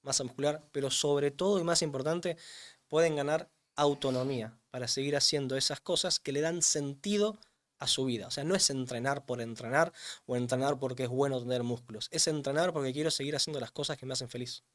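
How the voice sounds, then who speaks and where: A man's voice: mid-range voice, a little fast. A man, a strength coach who explains things emphatically in an interview, repeating words for weight. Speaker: strength coach for older adults, guest. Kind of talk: interview answers.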